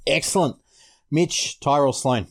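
A man's voice: on the high side, unhurried. An Australian man hosting a sports podcast, casually discussing fantasy rugby league.

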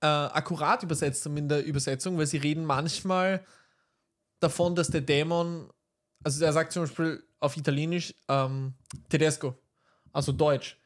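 A man with a somewhat high voice talks loosely about a film in relaxed podcast chat. He rambles, breaks off and restarts his sentences, and fills gaps with hesitations. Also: normal speed. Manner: rambling, many fillers and restarts. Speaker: podcast co-host. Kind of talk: casual film review chat.

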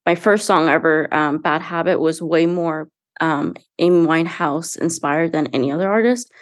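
A woman's voice: low voice, moderate pace, no echo, clean studio sound. A young woman, a singer-songwriter, talking earnestly and casually about her music in an interview, with frequent fillers and restarts. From United States. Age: young adult.

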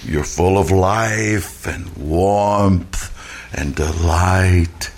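Man playing a slow, drunken smitten romantic. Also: low-pitched, heavily acted. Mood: smitten, ardent. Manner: halting, stumbling, drunken. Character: drunk, smitten young male writer, poetic and rambling. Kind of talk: 1940s radio romantic comedy acting.

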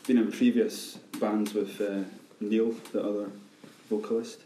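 Male band guitarist, low dark voice, set back from the mic in an echoey room. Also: flat delivery, measured pace, far from the mic, a little hiss or hum. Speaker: guitarist and singer. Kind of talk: casual interview chat.

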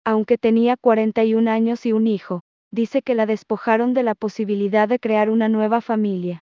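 A woman reading a text aloud phrase by phrase, then repeating each phrase slowly and calling out the punctuation marks. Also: fairly high pitch, flat delivery, dry recording, dull audio.

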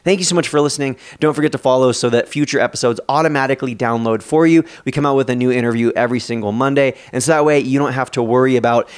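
A male podcast host with a smooth, resonant voice and middle pitch. He talks in a friendly, rambling way, full of fillers and little restarts. It sounds like a relaxed interview chat. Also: rapid-fire, hardly any pauses.

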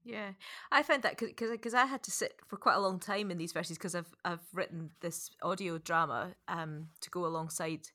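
A woman's voice: lowish voice, moderate pace, pushed and bright. A woman co-hosting a podcast, reflecting casually and emphatically, repeating words as she thinks her way through. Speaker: podcast co-host.

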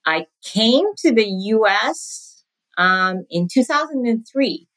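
A woman's voice: fairly high pitch, lively intonation, slow, fairly bright tone, low-quality recording. A woman in her forties, from Sudan with a British accent, chatting as a podcast guest and laughing often. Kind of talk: conversational interview.

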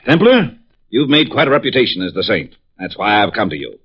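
Bombastic middle-aged american ex-jock dad, husky voice, muffled old radio show sound. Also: male voice, lowish voice, very animated, a little fast. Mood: pompous, solemn. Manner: bombastic, self-important, solemn declarations. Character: pompous, hearty middle-aged ex-football alumnus and proud father. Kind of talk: 1950s radio drama acting.

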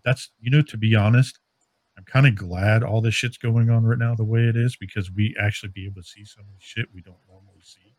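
Man, low voice, dry close sound, casual podcast co-host, informal, loose and sweary. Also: steady tone, quick pace, darkish tone, no echo, low-quality recording. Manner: informal, swearing, filler-heavy. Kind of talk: casual fan chat about comics and movies.

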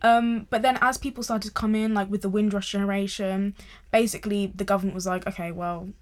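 Young british girl explaining stuff, animated, says like a lot. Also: medium pitch, a little fast. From England, family from Ghana. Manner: animated, explanatory, uses "like" often. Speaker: discussion participant. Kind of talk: casual conversation.

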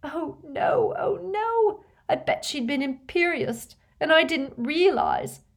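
Woman acting distraught teenage girl, high voice, tearful, sobbing, storybook character. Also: very animated, slow pace, gravelly, full character voice. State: crying.

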